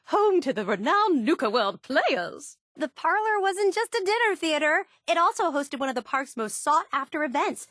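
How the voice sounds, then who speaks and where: A woman's voice: sits high, sing-song, moderate pace, few pauses, fairly bright tone, no room sound, studio quality. A woman playing an eager, obsessive young superfan and tour guide in a video game, gushing with earnest, geeky enthusiasm. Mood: showy, cheerful. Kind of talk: video game character dialogue.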